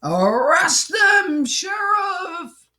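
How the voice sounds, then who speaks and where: A woman voicing a mean, furious old man in a storybook, screeching out indignant, drawn-out demands. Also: very high voice, big pitch swings, pushed and bright, voice pushed up, heavily acted. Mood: outraged.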